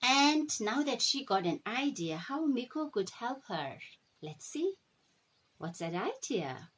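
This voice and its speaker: A woman teacher reading a storybook aloud to children, pausing to check in with short questions. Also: on the high side, breathy edge, low-quality recording. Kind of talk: animated storybook read-aloud.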